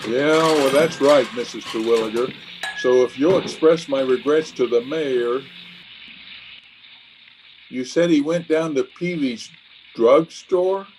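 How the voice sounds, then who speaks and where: An actor playing a blustery, pompous, scheming middle-aged water commissioner, hammy and exclamatory, in an old-time radio comedy. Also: male voice, mid-range voice, slow pace, long pauses, darkish tone, a little hiss or hum, lightly acted, rough recording. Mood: blustering.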